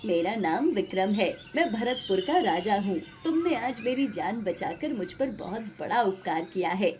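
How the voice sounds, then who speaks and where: A woman playing a calm, generous king in an animated moral story, speaking with dignified reassurance. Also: mid-range voice, normal speed, slight background noise, close to an everyday voice. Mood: grateful, reassuring.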